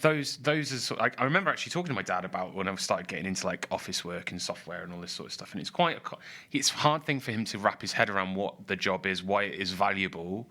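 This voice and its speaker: Bright-voiced northern man, thirties. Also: low voice, fast talker. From northwest England. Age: 30s. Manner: reflective, rambling with many fillers. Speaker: podcast co-host. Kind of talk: casual conversational podcast. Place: studio in a building. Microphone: studio microphone.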